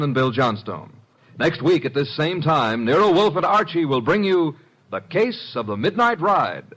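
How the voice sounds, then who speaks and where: A man's voice: fairly high pitch, a bit bright, breathy edge, poor audio. A man with an American accent reading scripted credits as a mid-century radio announcer.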